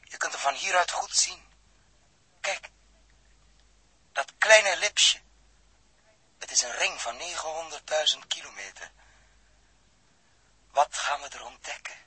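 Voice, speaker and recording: An actor playing an earnest, inquisitive astronaut scientist in a 1960s radio drama, explaining and questioning. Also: male voice, on the high side, animated, measured pace, long pauses, pushed and bright, husky, dry recording, full character voice, poor audio. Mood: curious, calm.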